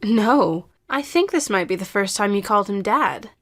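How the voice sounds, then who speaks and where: A woman acting a patient, probing therapist who speaks in a measured, formal way in an audio drama. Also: mid-range voice, lively intonation, fairly bright tone. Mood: calm, coaxing.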